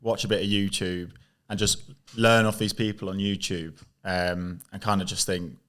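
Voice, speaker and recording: A man's voice: deep voice, level tone, normal speed, fairly bright tone. A man in his twenties co-hosting a casual podcast, talking earnestly with frequent restarts. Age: 20s. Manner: earnest, restarts words and backs others with "yeah". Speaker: podcast co-host. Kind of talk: casual chat.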